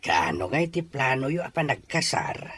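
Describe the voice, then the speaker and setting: A man acting a kindly, cautious older father in a radio drama, with a raspy, husky voice. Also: mid-range voice, very animated, normal speed, a bit bright. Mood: gentle, concerned.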